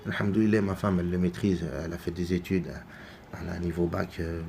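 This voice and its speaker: A man from France giving unscripted religious commentary, halting and full of repeated words and fillers. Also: low voice, level tone, vocal fry, dark tone, slight background noise. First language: French. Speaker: religious video maker.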